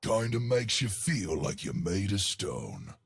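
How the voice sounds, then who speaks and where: A hearty living rock giant from a video game, brawling yet good-natured. The voice is very rough and husky, delivered slowly, with big booming swoops in pitch. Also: male voice, wildly swooping pitch, fairly bright tone, faint music behind, exaggerated voice. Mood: puzzled, musing. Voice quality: gravelly, husky. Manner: booming, hearty, slow and emphatic. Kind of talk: video game character voice lines.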